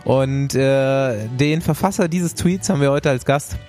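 A man's voice: medium pitch, unhurried, darkish tone, soft background music. Chatty man hosting a podcast. He has a mid-range voice and fills his sentences with ums and ahs. He rolls easily between banter and a sponsor read. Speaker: podcast host. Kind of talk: casual podcast chat with ad reads.

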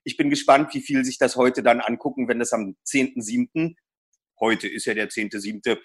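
A man's voice: medium pitch, steady tone, normal speed. Easygoing man hosting an interview. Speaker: podcast host interviewing an author. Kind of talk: casual interview.

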